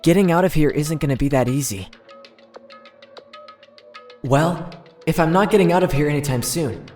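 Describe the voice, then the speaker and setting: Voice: medium pitch, moderate pace, a little mellow, natural voice. A performer voicing an earnest teenage schoolboy, narrating his own story dramatically as an inner monologue in an amateur game dub. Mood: puzzled, discouraged. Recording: roomy echo, music underneath.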